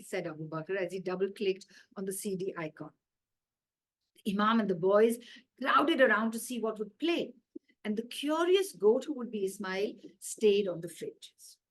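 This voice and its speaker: A woman's voice: medium pitch, poor audio. A woman with an Indian accent reading a children's story aloud expressively, as its author.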